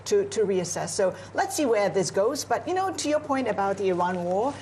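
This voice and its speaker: A woman's voice: mid-range voice, sing-song, moderate pace, a little mellow, a little hiss or hum. Singaporean woman discussing geopolitics. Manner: fluent, analytical, many "you know" fillers. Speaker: news anchor. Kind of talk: live news discussion. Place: on location in Beijing.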